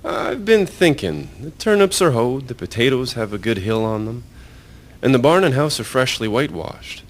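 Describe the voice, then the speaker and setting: A man's voice: sing-song, moderate pace, lightly acted. A man playing an easygoing, hard-working Irish farmer in a historical radio drama, speaking in folksy rural phrases. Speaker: amateur voice actor, storyteller. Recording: faint rumbling background noise.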